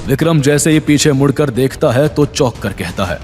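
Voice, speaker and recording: A man's voice: medium pitch, animated, moderate pace, music in the background. A man narrating a scripted audio drama in a dramatic storytelling style.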